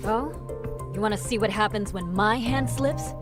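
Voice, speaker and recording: A woman's voice: medium pitch, normal speed, lightly acted. A woman voicing a tough, threatening young woman in a video game, curt, sardonic and scornful. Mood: scornful, impatient. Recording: music behind the voice. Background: music.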